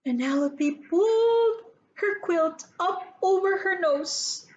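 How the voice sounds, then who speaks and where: A woman narrates a children's story. Her voice is very high and lively, and she reads very slowly. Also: lo-fi sound.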